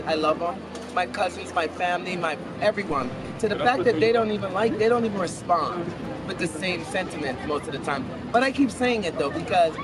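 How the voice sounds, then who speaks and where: A man from Guyana answering a street interview, speaking earnestly and personally with emphatic repetition, outdoors on a city street. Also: high voice. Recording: noisy outdoor street with traffic.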